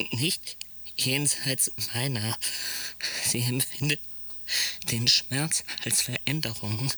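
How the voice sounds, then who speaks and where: A tense, husky male psychiatric patient in a radio play. He talks slowly in broken, repetitive fragments, cryptic and detached, often slipping toward a whisper. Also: mid-range voice, sharp tone, heavily acted. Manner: halting, fragmented phrasing with obsessive repetition. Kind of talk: radio drama monologue.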